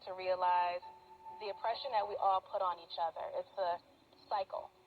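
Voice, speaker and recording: A woman's voice: on the low side, steady tone, moderate pace, over music. A woman giving earnest, reflective personal testimony as an activist interviewed on a shortwave radio broadcast. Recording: muffled, noisy shortwave radio capture. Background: radio static noise.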